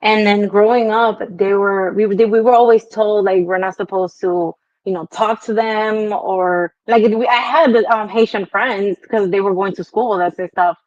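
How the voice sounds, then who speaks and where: A woman's voice: mid-range voice, steady tone, normal speed, hardly any pauses, darkish tone, no echo. A young woman from Brooklyn with a New York accent and a touch of Spanish, casually telling her life story as an interview guest, laughing easily. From Brooklyn, New York, Dominican descent. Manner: chatty, laughs easily, many fillers. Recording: dull lo-fi video call audio.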